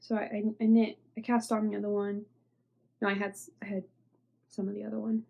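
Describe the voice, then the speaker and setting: Young woman, knitting podcast host, stuffy with a cold; husky, mellow, rambling, fades to near-whispers. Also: medium pitch, little pitch movement, a little fast. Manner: rambling, filler-heavy, trailing off into soft near-whispers. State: stuffy, congested. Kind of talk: casual show-and-tell chat.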